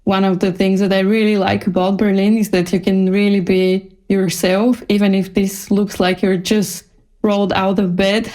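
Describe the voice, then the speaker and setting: A woman's voice: mid-range voice, level tone, normal speed, a little mellow. A woman from Bulgaria, a designer speaking casually as a guest in an interview.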